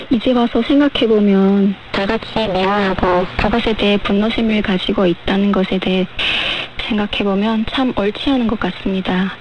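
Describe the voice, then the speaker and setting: Woman on dull, noisy shortwave radio. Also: medium pitch. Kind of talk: measured radio discussion.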